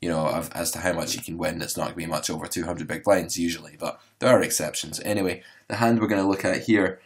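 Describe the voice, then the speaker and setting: Hoarse low-voiced man explaining poker. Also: quick pace. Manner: relaxed, rambling, filler-heavy explaining. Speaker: poker coach and podcast host. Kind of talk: solo instructional podcast.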